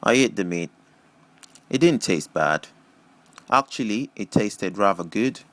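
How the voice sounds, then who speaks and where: A man narrates a first-person story as an audiobook reader. His voice sits low and moves with very lively intonation through the scripted prose. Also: a little slow, no room sound.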